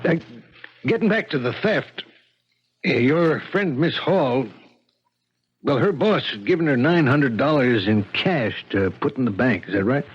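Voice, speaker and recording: An actor playing an earnest, polite police lieutenant who questions suspects haltingly in a 1970s radio drama. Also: male voice, medium pitch, expressive, slightly rough. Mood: apologetic, probing. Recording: dull 1970s radio broadcast.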